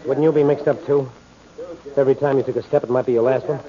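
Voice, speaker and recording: Crook, male actor: edgy, defensive, rapid-fire, 1940s radio acting, muffled recording with crowd behind. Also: mid-range voice, fairly even intonation, natural voice. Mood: nervous, touchy. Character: Larry, jittery touchy small-time crook.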